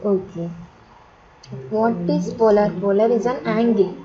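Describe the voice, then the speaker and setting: A woman's voice: medium pitch, steady tone, a little slow. Indian woman tutor, dull lo-fi sound, background chatter. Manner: repetitive, instructional, in short broken phrases. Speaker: instructor. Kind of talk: step-by-step software tutorial. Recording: dull lo-fi audio, chatter of voices behind.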